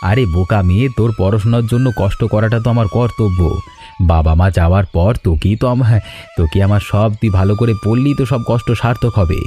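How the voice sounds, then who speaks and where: A protective, hard-working elder brother in an animated story, played by a man. He speaks earnestly and familiarly in a low, dark tone, with soft music underneath. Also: brisk. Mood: tender, protective. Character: self-sacrificing, protective big brother, hard-working garage owner.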